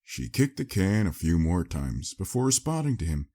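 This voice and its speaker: Deep, husky male story narrator. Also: big pitch swings, normal speed, warm tone. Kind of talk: dramatic scripted story narration.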